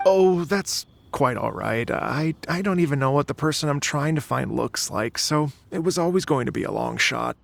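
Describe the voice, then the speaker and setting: A man's voice: fairly high pitch, expressive, moderate pace, vocal fry, hardly any pauses. A man playing an earnest, courteous and slightly flustered musician in an anime video game. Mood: reassuring, hesitant. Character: earnest, hopeful, polite male musician.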